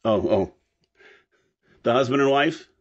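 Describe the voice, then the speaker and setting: A man speaks as a podcast host at an average male pitch. The recording is a little dull and lo-fi, in the manner of a discussion show. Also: lively intonation, measured pace, slightly rough. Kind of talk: podcast discussion of old-time radio.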